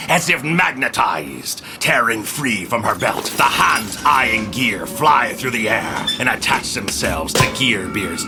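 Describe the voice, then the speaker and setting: A man narrating a scripted adventure tale in a dramatic, theatrical and ominous storytelling style. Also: mid-range voice. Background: music.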